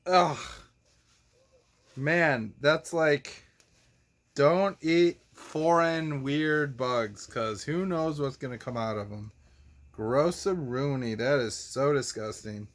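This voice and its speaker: Slow-talking goofy man hosting for kids. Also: medium pitch. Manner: goofy, theatrical, silly exclamations. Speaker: children's show host and teacher. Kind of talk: casual playful chat for kids, showing toys.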